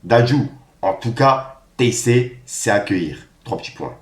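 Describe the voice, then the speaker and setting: A man's voice: medium pitch, expressive, unhurried, roomy sound. A man hosting a casual rap news roundup, reading posts aloud in street slang.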